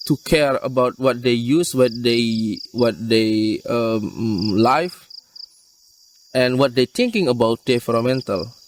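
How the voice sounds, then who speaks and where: Slow-talking man, earnest and halting. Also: mid-range voice. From Indonesia. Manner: earnest, halting non-native English. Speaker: wildlife rescue worker. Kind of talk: interview answers. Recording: distant mic, faint insect noise.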